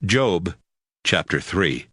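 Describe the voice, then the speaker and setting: A man's voice: low voice, sing-song, slow pace, a bit bright, no echo. A man narrating a dramatized Bible as a scripted storyteller.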